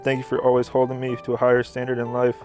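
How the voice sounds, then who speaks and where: A man's voice: mid-range voice, monotone, very fast, darkish tone. A young man, a groom, earnestly reading his written wedding vows at an outdoor ceremony. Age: young adult. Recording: music under the voice. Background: music.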